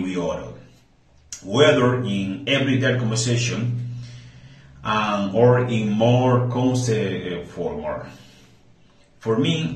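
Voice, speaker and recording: A man's voice: fairly low pitch, roomy sound, low-quality recording. A man from the Dominican Republic with a Dominican accent, speaking hesitantly in a prepared speaking-practice monologue, often restarting and searching for words.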